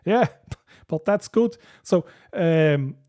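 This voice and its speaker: A man's voice: fairly high pitch, expressive, extremely slow, dark tone. A man with a Swiss accent hosting a casual live stream, reading out viewer comments and often laughing between hesitant, filler-filled sentences. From Switzerland.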